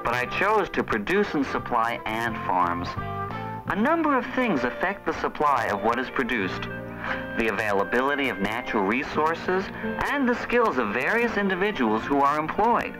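An actor playing an earnest young small-business owner, explaining things emphatically in a 1970s educational film. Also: male voice, mid-range voice, sing-song, normal speed, natural voice, poor audio. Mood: explanatory. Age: young adult. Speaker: narrator and lead of an educational film. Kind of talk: scripted narration, 1970s educational film acting. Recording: music bed, aged 1970s film soundtrack.

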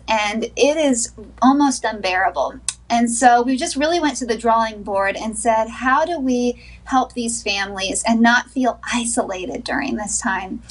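Woman, 30s, slightly high, earnest and upbeat therapist guest, video call from home. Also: rough recording. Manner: earnest, upbeat, laughs between points. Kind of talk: sponsored TV interview, remote. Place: small wood-paneled room at home.

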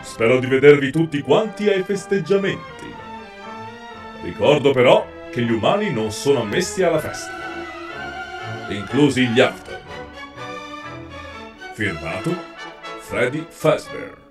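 A ceremonious, genial robot bear played by a man reads a formal letter for a cartoon. His medium voice is lively, slightly off the mic over music. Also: mid-range voice, unhurried, long pauses, distant mic. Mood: ceremonious, inviting. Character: genial, ceremonious male animatronic bear host. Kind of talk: scripted letter reading in fan dub.

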